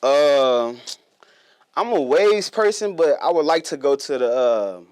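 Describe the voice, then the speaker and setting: A man with a loud voice, a guest singer in a casual chat, talking haltingly as he searches for words. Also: mid-range voice, lively intonation, clear, resonant tone.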